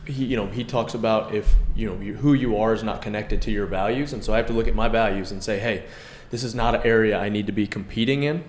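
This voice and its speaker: A man co-hosting a casual devotional talk, earnest and self-reflective, with a loud, carrying voice. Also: on the low side, fairly even intonation, fast, hardly any pauses. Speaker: podcast co-host. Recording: echoey room with faint noise.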